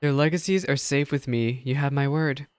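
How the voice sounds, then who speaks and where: A man's voice: medium pitch, normal speed, smooth, no echo, lightly acted. Man reading a solemn, guilt-ridden storykeeper. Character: guilt-ridden former betrayer, grieving storykeeper.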